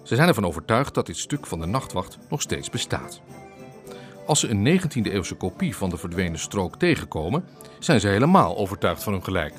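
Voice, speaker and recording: A man's voice: lowish voice, sing-song, a little fast, faint music behind. A man narrating a radio drama from a script as its storyteller.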